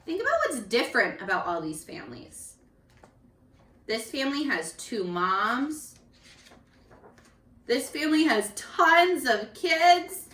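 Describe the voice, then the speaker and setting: A woman reading a picture book aloud to young children in an expressive, instructive way, speaking to them directly as a teacher. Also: high-pitched, slow pace, pauses often. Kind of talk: read-aloud for young children with questions to the listeners. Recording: echoey room, distant microphone.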